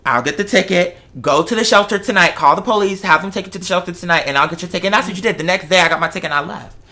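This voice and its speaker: A woman's voice: fairly low pitch, rapid-fire, pushed and bright. An American woman chatting casually and telling stories in a sassy, emphatic, conversational way. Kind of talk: casual chat, animated storytelling.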